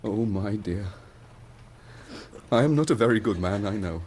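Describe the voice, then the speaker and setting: Slow, gentle forgiving husband. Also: male voice, on the low side, animated, heavy background noise. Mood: forgiving, soothing. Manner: gentle, soothing, earnest. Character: tender, forgiving husband, humble and gently reassuring. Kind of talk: period radio drama acting.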